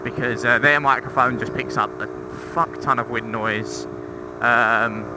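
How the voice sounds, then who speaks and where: Young man, creaky mid-pitched voice, informal self-deprecating review chat, helmet microphone, clear motorbike noise behind. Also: fairly even intonation, unhurried. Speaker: motorcycle vlogger. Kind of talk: casual rambling review while riding. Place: outdoors, riding a motorcycle on roads. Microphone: intercom microphone inside a helmet. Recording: helmet intercom mic with loud engine noise. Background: motorcycle engine and road noise.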